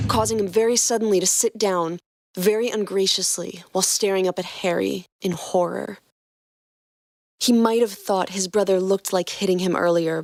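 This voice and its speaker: A woman narrating a fan fiction story in a steady reading voice. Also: medium pitch, dry recording, clean studio sound. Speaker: podcast co-host narrating fanfiction.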